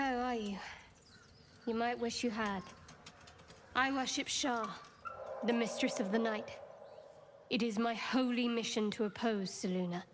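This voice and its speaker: A woman playing a secretive young cleric in a video game, cool, wry and measured. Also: on the high side, a little hiss or hum, natural voice. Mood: cool, defensive. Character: guarded, secretive young female cleric of dark goddess.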